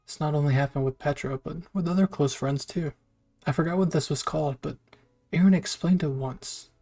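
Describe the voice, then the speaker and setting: Male story narrator, slightly high voice, reading scripted fan fiction. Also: brisk, darkish tone.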